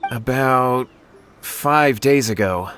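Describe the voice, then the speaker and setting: A man's voice: medium pitch, takes it slowly, a bit bright. A man playing an anxious, earnest young swordsman in an anime game, deferential and beseeching. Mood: insistent. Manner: deferential, beseeching, stammering when flustered. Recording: music behind the voice.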